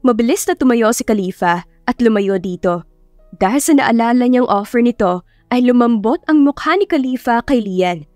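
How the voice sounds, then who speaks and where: A Filipino woman narrates a dramatic audiobook in a fairly high voice. The sound is dry, with faint music underneath. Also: animated, normal speed, darkish tone, dry recording. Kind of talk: scripted dramatic storytelling.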